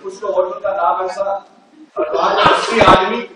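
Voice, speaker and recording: A man's voice: high-pitched, fairly even intonation, normal speed, heavy background noise. A man on stage reading out a nostalgic, joking letter to an old college friend in an auditorium. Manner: nostalgic, jokey letter-writing tone. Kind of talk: stage play monologue. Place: large auditorium. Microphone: unamplified stage, far from the recorder. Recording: echoey hall from far off.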